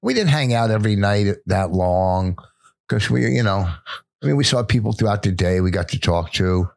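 Older man, very low voice, rambling, casual chat, dry close mic. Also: animated, moderate pace, vocal fry, a little mellow, no room sound. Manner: rambling storytelling with many restarts. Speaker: stand-up comedian co-hosting a podcast.

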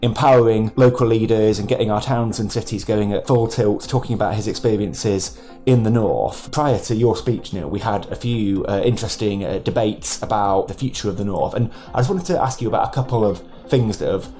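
Low mellow male interviewer. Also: fairly quick, soft background music. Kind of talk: conference interview.

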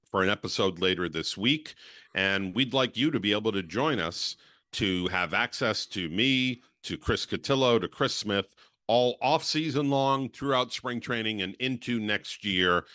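A man co-hosting a podcast, speaking in a measured, articulate way. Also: low-pitched, bright, forceful tone, no room sound, clean studio sound. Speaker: podcast co-host.

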